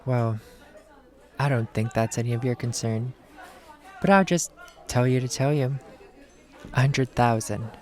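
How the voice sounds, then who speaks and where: A man's voice: medium pitch, fairly even intonation, measured pace, pauses often, darkish tone, some chatter behind, lightly acted. A man playing a short-tempered, sarcastic bounty hunter and ex-cop, blunt and dismissive, in an acted film script read.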